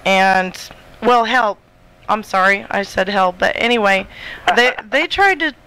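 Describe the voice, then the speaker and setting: A woman's voice: mid-range voice, a little slow, sharp tone, smooth, low-quality recording. A woman chatting casually on a radio show as a guest author.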